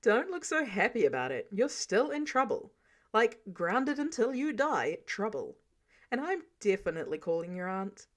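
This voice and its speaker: A woman's voice: mid-range voice, very animated, moderate pace. A woman voicing a stern, protective man who lays down rules in a sarcastic, bossy way for a story reading. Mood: stern, exasperated. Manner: sarcastic, bossy, clipped commands. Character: stern, exasperated, protective adult man, mentor laying down rules.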